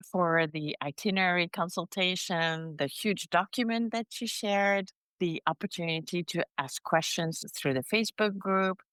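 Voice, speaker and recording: A woman in her fifties from France, a guest telling of her trip in a measured, grateful way. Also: fairly low pitch, a bit bright, no room sound. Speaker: podcast guest. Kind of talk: conversational interview.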